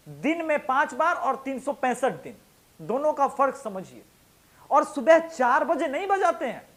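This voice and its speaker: A man hosting a talk show, delivering an impassioned, combative opinion monologue full of colloquial emphasis and rhetorical questions. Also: very high voice. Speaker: show host, political commentator.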